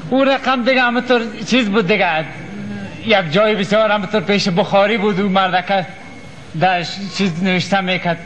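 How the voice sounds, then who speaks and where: A man's voice: sits high, fairly bright tone, poor audio. An actor with a Kabuli Afghan accent playing a naive, lovesick, fawning client who stumbles through his replies in a stage comedy. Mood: fawning, bumbling. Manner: fawning, hesitant, bumbling replies. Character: naive, lovesick male client, deferential, plaintive simpleton. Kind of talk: theatrical stage comedy dialogue. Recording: stage recording with audience noise.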